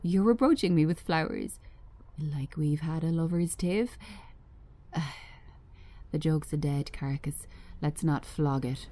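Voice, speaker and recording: A woman's voice: low-pitched, takes it slowly, long pauses, mellow. A woman reading a screenplay, playing a defensive, sardonic male shop owner in a dry, clipped manner. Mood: weary, scornful. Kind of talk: screenplay table read.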